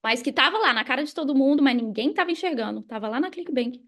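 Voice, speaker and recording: A Brazilian woman telling a personal story casually as a podcast guest, animated and informal, often checking in with listeners. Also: high voice, fast talker. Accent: Brazilian Portuguese.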